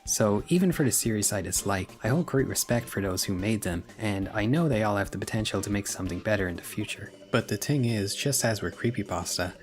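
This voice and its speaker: A man with a fairly low voice delivers scripted commentary in an informal, opinionated style. His wording is blunt and casual, with wry asides dropped in. Music plays clearly behind his narration. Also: animated, a little fast, hardly any pauses. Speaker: video essayist and narrator.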